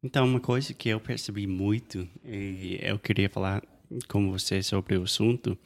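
Male podcast co-host, lowish pitch with plenty of fry, conversational teacher style. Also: steady tone, moderate pace. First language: English. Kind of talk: casual bilingual podcast chat.

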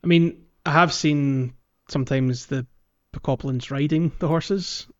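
A man's voice: fairly high pitch, unhurried. A man co-hosting a casual gaming podcast, chatting with wry, grumbling understatement. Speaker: podcast co-host. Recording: dull lo-fi mic, faint music. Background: faint background music.

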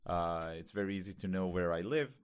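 A man from Israel with an Israeli accent, co-hosting a casual podcast and talking in a halting way with many fillers and restarts. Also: low voice, clear, resonant tone. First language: Hebrew. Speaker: podcast co-host.

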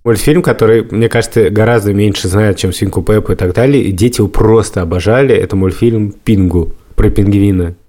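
A man's voice: fairly low pitch, a little fast, hardly any pauses, warm tone. A man hosting a podcast, talking casually and explaining things at length in a reflective way. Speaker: podcast host.

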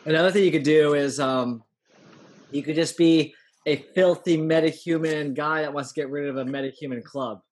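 A man acting as game master, calmly reminding players of the rules and prompting their plans. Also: a little high, normal speed. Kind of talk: running a tabletop game over a call.

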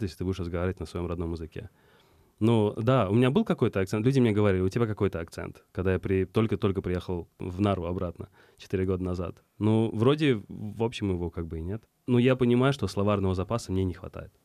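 Low, mellow-voiced young man from Estonia. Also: dry recording. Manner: reflective, halting with restarts. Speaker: guest. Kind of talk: interview answers.

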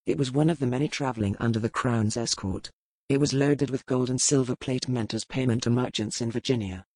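An actor playing a brooding noble pirate captain in an audiobook, speaking in measured, formal old-fashioned phrasing. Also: male voice, mid-range voice, darkish tone, no room sound, close to an everyday voice. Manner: measured, cold, formal period speech. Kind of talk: audiobook character reading.

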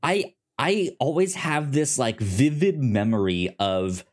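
A man co-hosting a movie podcast in a casual, chatty way, quick to laugh. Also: medium pitch, animated. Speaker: podcast co-host.

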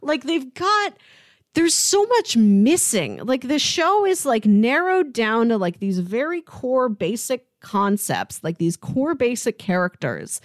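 Animated woman chatting, lively pitch, dry sound. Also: takes it slowly, no echo. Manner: animated, opinionated, peppers talk with "like". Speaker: podcast co-host reviewing a TV drama. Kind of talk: casual chat.

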